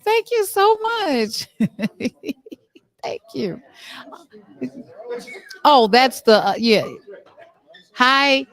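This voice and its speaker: A woman hosting a backstage interview in an informal, animated and effusive way. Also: high-pitched, extremely slow, long pauses. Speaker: talk show host. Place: on location backstage after a stage play.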